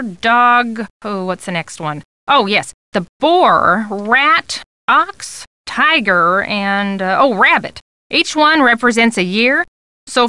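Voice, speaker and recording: A chatty woman photojournalist from an adventure game, gushes and rambles in a high, very animated voice. She is scatterbrained and easily carried away, and the sound is dry and close. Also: smooth, dry recording. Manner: gabby, gushing, rambling. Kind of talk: video game character dialogue.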